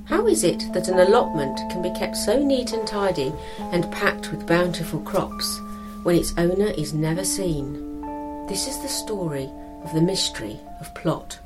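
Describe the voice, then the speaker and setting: A woman's voice: fairly low pitch, lively intonation, moderate pace, over music, poor audio. A British woman narrating a scripted story as a storyteller.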